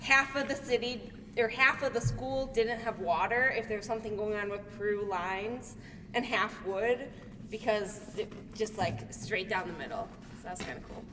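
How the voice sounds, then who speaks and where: A woman's voice: mid-range voice, moderate pace. A woman from Illinois co-hosting a casual podcast chat, laid-back and often giving brief replies. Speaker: podcast co-host, music teacher. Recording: echoey room from a distance with background noise.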